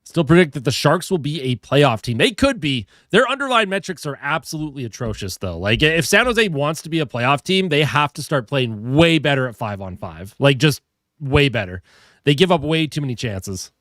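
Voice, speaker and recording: A man's voice: a little high, sing-song, normal speed, fairly bright tone, no room sound. A young man with a head cold and a stuffed-up voice, chatting casually on a hockey live stream, greeting viewers and reading their comments. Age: young adult. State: a little tired.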